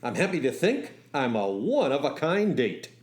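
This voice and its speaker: A man's voice: medium pitch, very animated, normal speed, close to an everyday voice. A man acting as a young driving instructor and soldier, telling his love story in a wry, folksy way for a radio play. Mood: friendly, joking. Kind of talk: first-person radio storytelling with acted dialogue.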